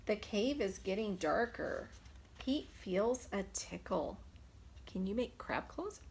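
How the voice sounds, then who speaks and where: A woman's voice: medium pitch, lively intonation, takes it slowly, vocal fry, a little mellow, slight background noise. A woman preschool teacher reading a picture book aloud, expressively, putting simple questions to young children. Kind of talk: children's storytime read-aloud.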